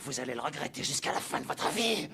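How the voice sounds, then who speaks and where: A man's voice: on the high side, big pitch swings, fast talker, gravelly, sharp tone, heavily acted. A man playing an arrogant, ruthless, short-tempered alien warrior prince in a dubbed anime, haughty and theatrical in delivery. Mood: furious, bitter.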